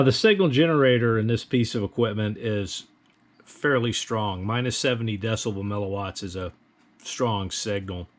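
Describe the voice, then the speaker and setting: Man, lowish voice, methodical, instructional, step-by-step equipment demonstration. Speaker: presenter of radio equipment tutorial. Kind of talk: explanatory product demonstration.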